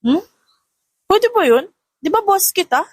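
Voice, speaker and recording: Woman playing high-pitched, flustered young woman. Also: sing-song, unhurried, no echo, studio quality, full character voice. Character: flustered, playful young mother working for her boss.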